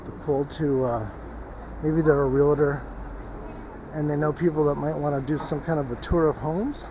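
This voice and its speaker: Man, walking vlogger, casual rambling talk, higher voice, dull muffled recording, clear street traffic behind. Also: steady tone, normal speed. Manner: rambling, thinking aloud with restarts. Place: outdoors on a city street.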